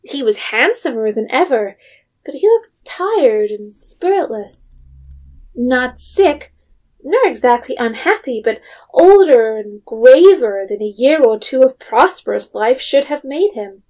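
An actress as a composed storyteller, reading scripted literary narration for an audio drama. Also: female voice, high-pitched, very animated, unhurried, darkish tone, heavily acted.

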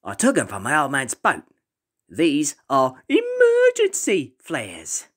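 A woman voicing gruff, theatrical male comic characters, bossy and easily exasperated. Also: deep voice, huge pitch swings, slow, full character voice. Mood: smug swagger. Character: gruff, exasperated father; swaggering teenage bully boy. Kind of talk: comic fandub acting.

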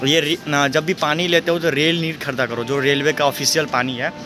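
A man's voice: on the high side, fairly even intonation, brisk, music in the background. A young man talking casually to viewers in a travel vlog, joking with friends, filmed on a phone at arm's length on a busy railway platform. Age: young adult. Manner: chatty, joking, addresses viewers directly. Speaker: vlogger. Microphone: phone camera held at arm's length. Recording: noisy outdoor station ambience.

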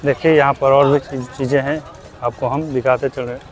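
A young man from Bihar walks through an outdoor fair talking into a lapel mic. He narrates casually and repeats his points. His voice sits at a middle pitch, and his questions to vendors come out loud. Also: little pitch movement, fairly quick. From Bihar, India. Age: young adult. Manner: repetitive, asks vendors prices loudly. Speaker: vlogger. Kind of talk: walking market vlog narration. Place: outdoor crafts fair among stalls. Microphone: clip-on lapel mic.

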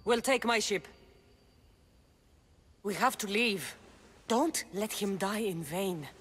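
A woman's voice: mid-range voice, expressive, takes it slowly, breathy edge. A woman playing a determined young mercenary warrior in a video game cutscene, giving firm, urgent commands.

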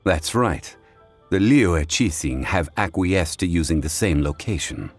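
A man voicing a dignified, composed, scholarly gentleman in a video game, speaking formally and courteously in measured phrases. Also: deep voice, animated, soft background music. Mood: explaining.

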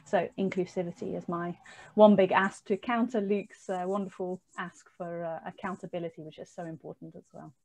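A woman's voice: fairly low pitch, fairly even intonation, brisk, creaky, a little mellow, rough recording. A woman speaking directly and emphatically as an advocate on a video-call panel.

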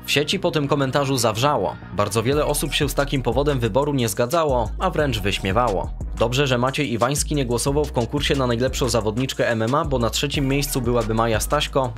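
A young man hosting a football news show, reading scripted reports in a brisk, even newsreader manner from a small room. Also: mid-range voice. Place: small room with brick wall and shelves.

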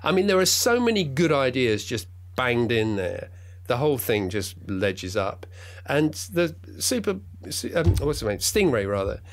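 A man's voice: mid-range voice, big pitch swings, moderate pace, a little hiss or hum. A middle-aged man talking as a guest, enthusiastic and playful, rambling with many restarts and fillers. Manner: playful, jokey. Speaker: guest, radio drama writer and director. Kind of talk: casual conversation.

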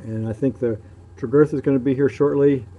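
A man giving casual, off-the-cuff video commentary in a matter-of-fact way, often pausing between phrases. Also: medium pitch, fairly even intonation. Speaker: local shipping news publisher filming ships. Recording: muffled dark sound, clear background noise.

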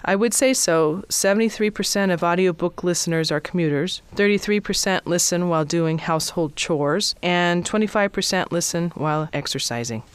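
Clear, dry-miked woman explaining. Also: fairly low pitch, normal speed, few pauses, dry recording. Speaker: guest, audiobook producer. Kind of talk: prepared interview answers.

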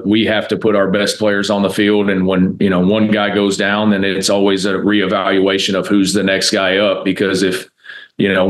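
American male coach, 30s, low register, very flat delivery, press-conference answers, measured and matter-of-fact. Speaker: college football offensive coordinator. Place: indoor press room, seated at a table before a backdrop.

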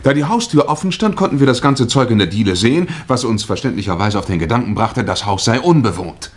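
An actor playing a brisk, plain-spoken husband explaining himself in a 1960s German radio crime drama. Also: male voice, mid-range voice, animated, lightly acted. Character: brisk, plain-spoken married man, lost motorist.

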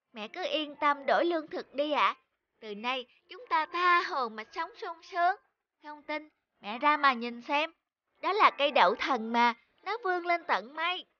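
A woman voicing a naive, eager little peasant boy in a children's cartoon, earnest and expressive. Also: high-pitched, normal speed, highs cut off. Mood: excited, eager.

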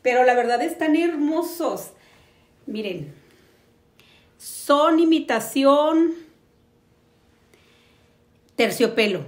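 A woman, chatting enthusiastically to camera as she hosts a casual home product review. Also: fairly high pitch. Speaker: host of a home decor channel. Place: living room on a sofa, indoors. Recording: roomy echo.

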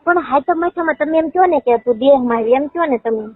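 A woman's voice: sits high, fairly quick, no echo. A woman with a Gujarati accent chatting casually and intimately on a phone call, affectionate and fond of pet names. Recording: tinny, narrow phone-call line.

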